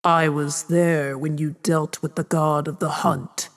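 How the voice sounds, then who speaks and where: A man's voice: a little high, level tone, measured pace, fairly bright tone, pitched above the performer's own voice. A man voicing a stately, stern boar goddess queen with a terse, regal manner, under long hall-like reverb.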